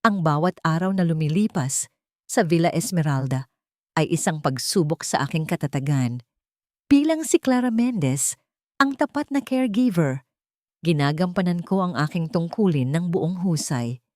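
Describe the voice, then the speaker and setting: A woman's voice: on the low side, animated, moderate pace, a little mellow, no echo, studio quality. A woman reading scripted first-person narration in a dramatic storytelling style.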